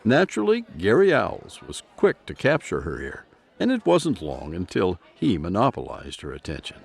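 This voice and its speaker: Gravelly, hugely animated male storyteller. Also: low voice, normal speed. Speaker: narrator. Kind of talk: scripted storybook-style narration.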